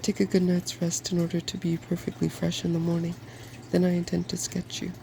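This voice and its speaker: A man voicing an eager, bossy, scholarly young woman in a soft-spoken ASMR storybook reading, with precise, instructive phrasing. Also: high-pitched, monotone, brisk, creaky, a little hiss or hum. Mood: brisk, cheerful.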